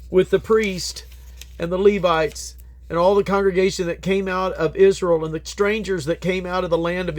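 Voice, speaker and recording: A man reading scripture aloud as a Bible reader, in a solemn, declamatory manner. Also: high-pitched.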